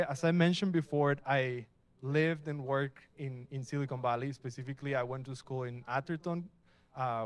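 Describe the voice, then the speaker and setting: A young adult man from Mexico gives a casual, upbeat talk at a live tech meetup, asking the audience questions as he goes. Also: mid-range voice. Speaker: meetup speaker. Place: event space with a live audience.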